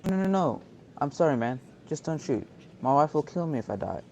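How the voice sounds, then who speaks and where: A man's voice: a little high, very animated, fairly quick, creaky, darkish tone, lo-fi sound, a little hiss or hum. A young man acting a chatty, flippant husband held hostage in an amateur radio drama. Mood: panicked, indignant. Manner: flippant, talkative, complaining. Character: cheeky, chatty bank hostage and harried husband. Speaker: student actor.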